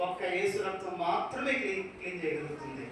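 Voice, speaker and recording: A man's voice: high voice, lively intonation, fast, recorded from a distance. A man presenting a children's church puppet show, speaking as a patient, correcting teacher and ventriloquist. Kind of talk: live children's puppet show, playful preaching. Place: large church hall with audience. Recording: echoey hall from afar, loud crowd noise.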